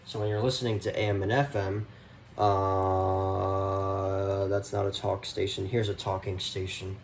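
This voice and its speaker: A man with a slightly low voice talks casually and off the cuff, like a hobby vlogger showing things around his home. His wording is slangy and easily exasperated. He sounds some distance from the mic, with faint noise behind him. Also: flat delivery, measured pace. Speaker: vlogger showing a radio collection. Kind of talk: casual unscripted show-and-tell. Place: indoors, room at home.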